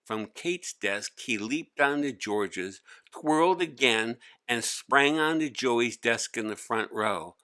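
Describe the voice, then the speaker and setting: Male narrator, children's story read-aloud, slow pace, bright crisp-edged tone, medium pitch. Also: expressive, no room sound, clean studio sound. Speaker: author-narrator.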